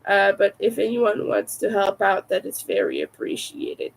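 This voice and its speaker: A woman with a raspy, soft-spoken voice, sick and low on energy, chatting casually and hesitantly on a livestream. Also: wildly swooping pitch, moderate pace, rough recording. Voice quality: raspy from vocal cord damage. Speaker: livestream host, crafter.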